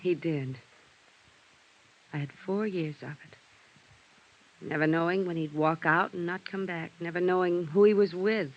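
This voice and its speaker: An actress playing a weary, melancholy widow in a vintage radio drama, speaking in a hushed, wistful way. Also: female voice, lowish voice, fairly even intonation, unhurried, pauses often, close to an everyday voice. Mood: wistful, bitter. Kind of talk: 1950s radio drama acting. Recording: dull, noisy old radio recording.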